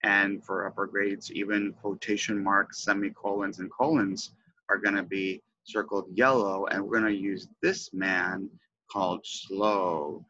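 Bright-voiced middle-aged man teaching, low pitch. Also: flat delivery, measured pace, highs cut off. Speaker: teacher trainer presenting a lesson. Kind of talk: instructional lesson explanation. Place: indoors, webcam presentation.

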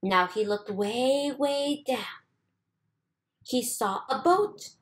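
A woman reading a children's storybook aloud expressively, with deliberate, sing-song emphasis. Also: on the high side. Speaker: storybook reader.

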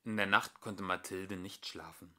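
A young man reading a children's storybook aloud as an amateur narrator, in an expressive storytelling manner. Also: sits low, unhurried. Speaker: amateur audiobook reader.

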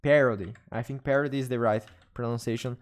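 A man's voice: mid-range voice, steady tone. A young man with a Brazilian Portuguese accent, talking to his live-stream chat while he plays. His English is his second language, so he often stops, repeats himself and hunts for the right word. He speaks into a desk microphone in a small room. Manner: halting non-native English, restarts and searches for words. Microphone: studio mic on arm, headphones.

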